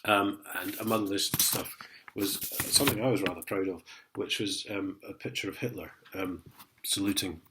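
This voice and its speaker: A man talks to camera in a sarcastic, chuckling vlog rant. His voice sits fairly low and sounds a little stuffed up from a head cold. He reads out official wording in a mocking tone. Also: steady tone, moderate pace, noisy background. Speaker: vlogger. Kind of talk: casual ranting vlog.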